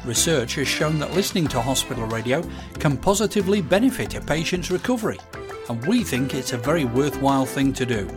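A male announcer reading a scripted charity appeal in an earnest, clear way. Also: medium pitch, expressive, brisk. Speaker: station announcer. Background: music bed.

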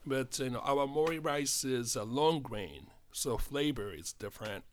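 A man's voice: mid-range voice, expressive, a little hiss or hum. Japanese-accented middle-aged man, hesitant guest, stop-start delivery. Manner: halting, many fillers and restarts. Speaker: guest, spirits importer. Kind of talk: casual studio chat.

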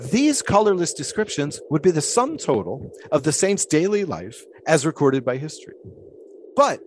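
A man's voice: a little high, a little mellow, a little hiss or hum. An actor playing a grandiloquent, philosophical 1920s showman in an audio drama, musing in wordy, theatrical speeches.

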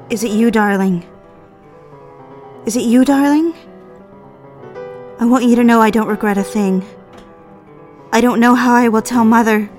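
A woman's voice: a little high, a little mellow. An actress playing an anxious, submissive young secretary in love with her boss, in a romantic audio drama with pleading, formal speech. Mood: anxious, longing. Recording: music behind the voice.

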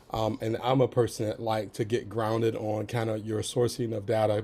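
Hoarse, gravelly man, low and flat. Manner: halting, many ums, careful phrasing. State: under the weather, raspy voice. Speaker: audience member asking a question. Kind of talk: webinar question.

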